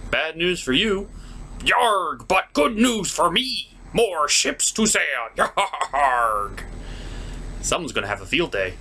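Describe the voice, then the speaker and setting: A man's voice: mid-range voice, bright, forceful tone, slight background noise. A man hosting a jokey, scripted cartoon recap in a theatrical, sarcastic way. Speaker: host of a cartoon episode review.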